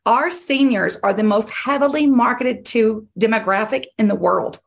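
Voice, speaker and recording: Woman sales coach, slightly high voice, strained and hoarse, firm and repetitive. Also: moderate pace. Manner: direct, emphatic, repeats key points. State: losing her voice. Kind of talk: coaching on a group call.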